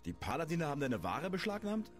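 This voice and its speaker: A man's voice: fairly high pitch, wildly swooping pitch, fairly quick. A man playing a blunt, inquisitive adventurer in a fantasy video game. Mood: inquiring. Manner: direct, questioning. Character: blunt, inquisitive male adventurer newly in town. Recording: music behind the voice.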